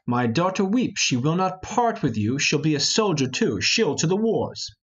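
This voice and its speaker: A man's voice: fairly high pitch, very animated, normal speed, breathy edge, lo-fi sound. A man playing a commanding, solemn older lord and father in an amateur Shakespeare reading, declaiming the verse. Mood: anxious, exasperated.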